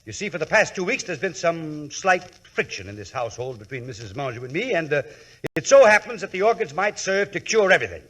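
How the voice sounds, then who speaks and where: An actor playing an imperious, indignant husband and customer in 1940s radio comedy, speaking in a pompous, precise way. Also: male voice, fairly high pitch, very animated, fairly quick, a bit bright, slightly rough, full character voice, poor audio. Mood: aggrieved, confessional. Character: irate, imperious, lovestruck wealthy husband and customer. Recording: vintage 1940s radio broadcast.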